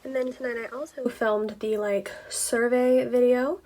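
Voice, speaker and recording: A woman's voice: a little high, steady tone, a little slow, a little mellow. A woman talking informally to her viewers, explaining things in a casual, conversational behind-the-scenes vlog style. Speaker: ASMR video creator and vlogger.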